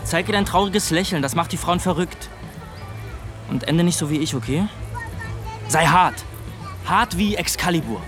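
Guy acting cocky teen lad, highish very animated voice, slangy and crude, radio drama, bit of music outdoors. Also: normal speed, pauses often, close to an everyday voice. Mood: goading. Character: brash, crude, swaggering teenage boy, a holiday buddy. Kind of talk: colloquial radio drama dialogue.

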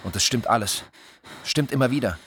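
An actor playing an agitated, grandiose, paranoid writer in mania, delivering an intense, theatrical radio drama monologue. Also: male voice, mid-range voice, lively intonation, brisk, fairly bright tone, a little hiss or hum, close to an everyday voice.

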